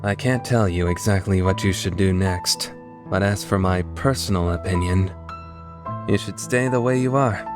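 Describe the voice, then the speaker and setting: A man playing an aloof, awkward young man with hidden kindness in a dubbed visual novel, offhand and awkwardly gentle. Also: low-pitched, moderate pace, over music, lightly acted. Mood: hesitant, sincere.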